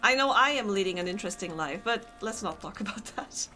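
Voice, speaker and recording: A woman's voice: mid-range voice, expressive, brisk, sharp tone. A young woman with a Polish accent chatting casually with her stream chat while gaming, laughing often and answering comments. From Poland.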